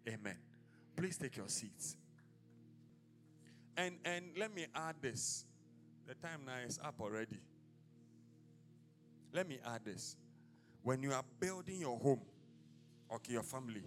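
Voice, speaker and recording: A Ghanaian man preaches in a slightly raised voice, swinging between loud declaiming bursts and quieter conversational asides. His sermon carries through a church service. Soft music plays faintly beneath his words. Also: fairly high pitch. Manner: declamatory, prays in rising bursts then drops to casual asides. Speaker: apostle preaching.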